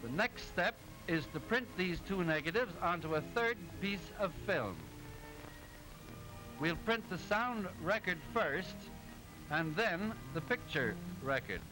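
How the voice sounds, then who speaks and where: A genial cartoon professor lectures in a high voice. He explains precisely and didactically, in the manner of 1920s educational film narration. Music plays behind him on an old soundtrack. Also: big pitch swings, slow pace, exaggerated voice, rough recording. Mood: explaining.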